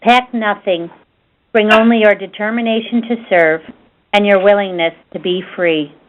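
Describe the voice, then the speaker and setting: A woman reading a prayer aloud in a solemn, measured way on a conference call. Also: mid-range voice, fairly even intonation, noisy background. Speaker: prayer reader. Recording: dull lo-fi conference call audio.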